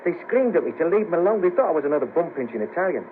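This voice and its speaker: A man's voice: a little high, big pitch swings, racing, phone-quality sound. An actor playing a world-weary, scheming ex band manager, down on his luck, in a colloquial 1970s radio drama. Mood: weary, reminiscing. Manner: wry, persuasive, colloquial. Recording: patchy noisy cassette of 1970s radio broadcast.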